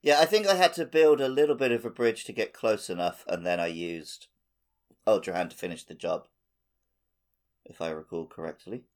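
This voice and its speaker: A man's voice: fairly low pitch, moderate pace. A man co-hosting a podcast, talking casually about a video game. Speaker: podcast co-host.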